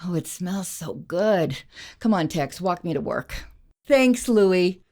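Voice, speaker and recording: A woman acting as a playful, flirty newsstand owner in a radio drama, teasing and expressive. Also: lowish voice, slow pace.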